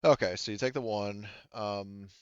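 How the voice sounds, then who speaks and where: A man acting as game master of a tabletop roleplaying game, talking casually and haltingly as he thinks aloud. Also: fairly low pitch, fairly even intonation, muffled recording.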